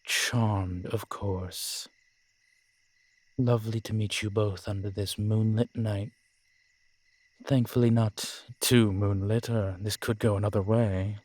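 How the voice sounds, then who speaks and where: Cultured vampire biker played by a man: suave, understated, measured and slow, slightly lowered voice, dry close sound. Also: fairly even intonation, a little mellow, no echo. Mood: courtly. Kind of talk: audio roleplay drama acting.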